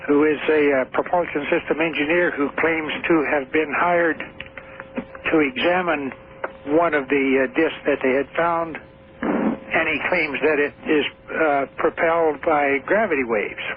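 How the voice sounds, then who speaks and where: A man's voice: fairly high pitch, fairly even intonation, measured pace. A man calling in to a radio show, polite and methodical, spelling out names as he goes. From Washington state, USA. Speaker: caller. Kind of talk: phone-in call. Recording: tinny phone line on an old radio broadcast.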